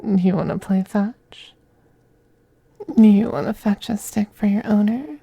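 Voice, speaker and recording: A man playing a possessive, teasing femboy vampire in an intimate ASMR roleplay, speaking softly and breathily, often in a whisper, with coaxing, condescending tenderness. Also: high-pitched, a little slow, creaky, husky, dry recording, heavily acted. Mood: playful, taunting.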